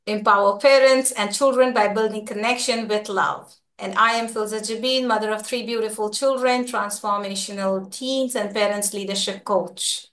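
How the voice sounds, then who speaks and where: A woman hosting an online parenting summit interview on a video call, often pausing with "uh" and "like". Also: mid-range voice, a bit bright. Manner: hesitant, many "uh" and "like". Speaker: host, parenting coach.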